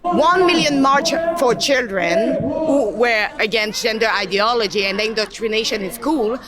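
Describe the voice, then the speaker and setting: A woman reporter asking measured questions at an outdoor protest. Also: fairly high pitch, rough recording. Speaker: street reporter. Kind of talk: interview questions. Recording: loud crowd noise outdoors.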